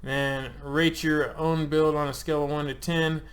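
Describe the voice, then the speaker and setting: A man's voice: a little high, flat delivery, moderate pace. A man in his thirties, the host of a hobby channel, walking viewers through an online form in a loose, chatty way, with frequent ums and uhs and the odd joke. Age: 30s. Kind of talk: casual screen-share walkthrough.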